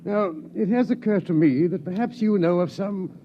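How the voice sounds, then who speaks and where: A man's voice: high-pitched, moderate pace, natural voice. An actor playing a pompous, blustering old colonel in a 1950s radio drama, fussy and hesitant in his phrasing. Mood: blustering, earnest. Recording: muffled, hissy 1950s radio recording.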